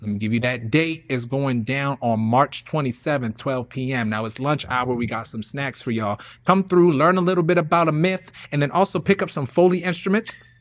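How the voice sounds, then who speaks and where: A man hosting a show casually, with hype, slangy shout-outs and repeated catchphrases. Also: medium pitch, normal speed, no room sound. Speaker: radio show host and performing artist.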